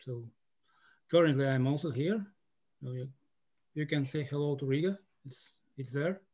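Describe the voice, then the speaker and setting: A man's voice: mid-range voice, normal speed, a little mellow. A man from Latvia chatting casually as a filmmaker guest on a video call. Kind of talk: casual chat.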